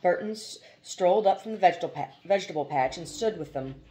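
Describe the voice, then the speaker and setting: Lowish-voiced woman reading literary fiction. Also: lively intonation, fast, mellow, rough recording. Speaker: audiobook reader. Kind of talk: literary narration.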